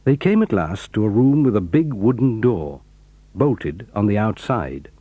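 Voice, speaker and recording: A man with a British accent narrating a children's adventure story in a measured, lively way. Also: fairly low pitch, slightly rough, no echo.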